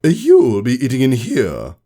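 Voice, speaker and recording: Storybook role: strict female pony teacher, acted by a man, raised pitch, sarcastic, authoritative, no-nonsense. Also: wildly swooping pitch, measured pace, dark tone. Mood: stern. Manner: matter-of-fact, commanding. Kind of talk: storybook character voice.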